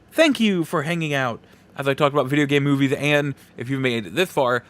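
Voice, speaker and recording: A man's voice: fairly high pitch, fairly bright tone. A man hosting a podcast, talking casually and informally through a solo movie review with rambling asides. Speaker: podcast host.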